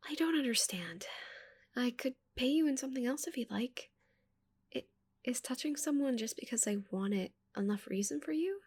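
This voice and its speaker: A woman voicing a shy, curious giant female alien in a soft, breathy ASMR roleplay, with a hesitant, stammering manner. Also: high-pitched, animated, normal speed, full character voice. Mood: nervous, pleading.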